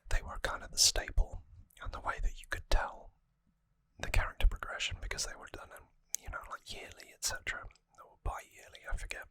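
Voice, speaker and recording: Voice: brisk, bright, forceful tone, no echo. Close, dry ASMR whisper. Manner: musing, conversational trivia talk. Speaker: ASMR video host. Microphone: close microphone, dry sound.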